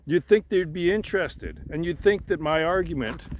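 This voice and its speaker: A man in a halting, rambling unscripted monologue, frustrated and insistent, swearing and repeating himself. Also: a little high, animated. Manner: frustrated, insistent, profanity-laced; halting, repeats words and restarts. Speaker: amateur theorist making videos. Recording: noticeable background noise.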